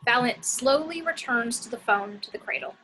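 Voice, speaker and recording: A woman's voice: fairly high pitch, lively intonation, normal speed, bright, forceful tone, slight background noise, poor audio. A woman reading scripted action descriptions as the narrator of a screenplay table read.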